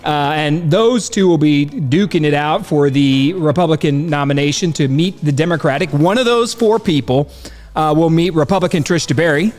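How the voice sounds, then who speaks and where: A man's voice: a little high, in a large hall. A male podcast host with a stuffed-up nose, rambling in casual commentary and laughing as he talks. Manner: chatty, rambling, laughs while talking.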